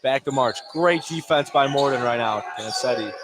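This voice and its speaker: A man's voice: medium pitch, moderate pace. A man doing live radio play-by-play of a basketball game in short, clipped phrases. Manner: clipped, terse running call in short phrases. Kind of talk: live sports radio commentary. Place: indoor high school basketball game. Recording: clear background noise of a gym.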